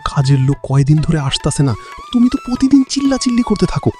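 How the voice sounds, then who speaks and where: A man plays a good-hearted young cartoon son with a Bangladeshi accent. His mellow voice rises and falls dramatically, earnest and questioning, over faint music. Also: a little high, big pitch swings, fast talker. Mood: pleading, reasoning. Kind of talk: cartoon voice acting.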